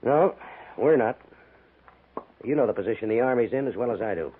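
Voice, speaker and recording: An actor playing an earnest, weary frontier army major, explaining in a measured way in a 1950s western radio drama. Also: male voice, mid-range voice, lively intonation, a little hiss or hum, lightly acted. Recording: thin, tinny 1950s radio recording.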